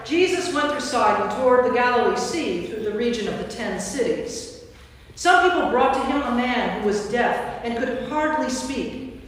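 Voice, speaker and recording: A woman's voice: on the high side, a bit bright. A woman giving scripted narration for a staged scripture reading in a church. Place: inside a large church. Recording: distant, very echoey church hall.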